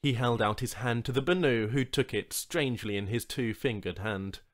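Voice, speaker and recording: A man's voice: mid-range voice, moderate pace. A man reading a scripted story as an audiobook narrator.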